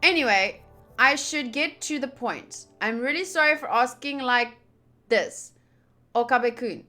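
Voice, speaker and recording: Female playthrough host, bright and fairly high, chatty live commentary mixed with read-aloud text, music underneath. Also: a little slow. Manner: chatty, addresses viewers, comments between readings. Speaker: streamer playing a visual novel. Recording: game music behind the voice.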